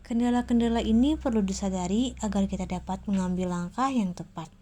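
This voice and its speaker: A young woman gives a student presentation. Her pitch sits in the middle for a woman, and she reads from a script in a formal, structured way. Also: a little mellow. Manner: formal, read-aloud delivery.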